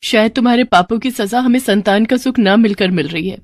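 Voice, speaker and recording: A woman's voice: a little high, fairly quick, slight background noise, natural voice. A woman voicing an anxious, pious village wife, pleading and reproachful, in a moral-story cartoon. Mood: worried, reproachful.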